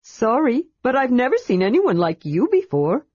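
A woman's voice: a little high, sing-song, moderate pace, darkish tone, breathy edge, no echo, dull audio, pitched above the performer's own voice. A woman voicing a small, cheerful and curious kender who rambles eagerly.

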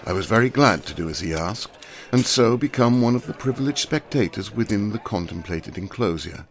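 A man narrating a classic novel aloud in a measured, precise reading. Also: lowish voice, lively intonation. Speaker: audiobook narrator.

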